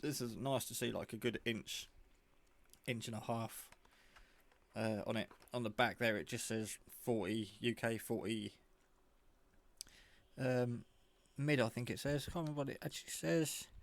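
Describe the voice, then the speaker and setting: A man's voice: medium pitch, normal speed, vocal fry. A man giving a casual, rambling, informal show-and-tell of a piece of hobby gear. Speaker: radio hobbyist showing a handset.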